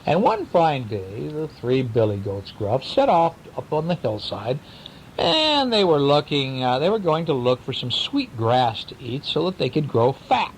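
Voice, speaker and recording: A man's voice: huge pitch swings, unhurried, poor audio. A man, a father, telling a bedtime story to a child in a playful, theatrical, teasing way, at home. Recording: home recording with rumble and background noise.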